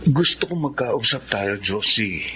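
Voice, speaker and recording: An actor with a Filipino accent playing a persistent, earnest ex-boyfriend in a radio drama. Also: male voice, lowish voice, lively intonation, unhurried, sharp tone, soft background music, poor audio. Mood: apologetic. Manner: earnest, coaxing. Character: smooth-talking, persistent young male ex-lover.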